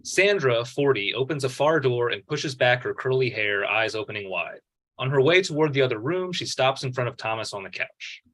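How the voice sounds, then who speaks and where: A man's voice: medium pitch, expressive, normal speed, fairly bright tone, poor audio. A man reading screenplay stage directions as narrator at a table read.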